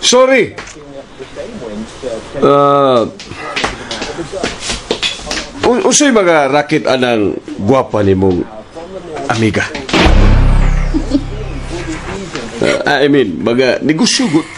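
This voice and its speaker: Animated, flustered Cebuano male boss. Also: medium pitch, takes it slowly, long pauses, noisy background. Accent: Filipino, Cebuano. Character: Sir Leo, fussy excitable male TV station boss. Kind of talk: Filipino radio action drama acting. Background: background music.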